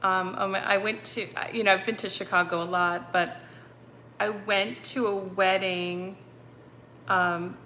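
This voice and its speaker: Slow, bright-voiced chatty woman livestreaming. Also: medium pitch, level tone, a little hiss or hum. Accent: slight Boston. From North Andover, Massachusetts. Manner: chatty, rambling, many ums and self-corrections. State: hot and sweaty. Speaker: medium hosting a livestream. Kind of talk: casual livestream chat with viewers. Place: apartment with broken air conditioning.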